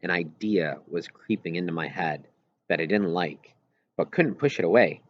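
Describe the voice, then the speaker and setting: Male audiobook reader, lowish voice, first-person narration, slightly dull lo-fi recording. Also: moderate pace, slight background noise. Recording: roomy echo, slightly dull lo-fi sound.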